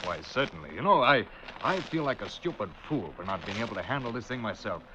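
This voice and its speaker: An actor playing a polite, fussy, accommodating doctor in a 1940s radio drama, slightly flustered and effusive. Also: male voice, on the low side, very animated, quick pace, a bit bright. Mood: polite, earnest. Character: courteous, fussy middle-class male doctor, accommodating. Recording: noisy, dull 1940s radio recording.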